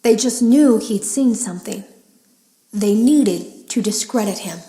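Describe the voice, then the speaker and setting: A woman's voice: mid-range voice, a little slow, mellow, close to an everyday voice. Echoey, uneasy actress as a female game tester. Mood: bitter, knowing. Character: uneasy female game tester confiding in secret audio logs. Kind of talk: scripted audio log monologue in a horror game.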